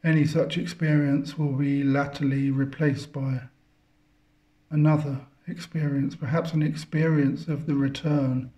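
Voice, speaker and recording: A man leading a guided meditation, speaking contemplatively in halting phrases with long pauses between them. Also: mid-range voice, level tone, warm tone. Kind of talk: guided meditation, spiritual talk.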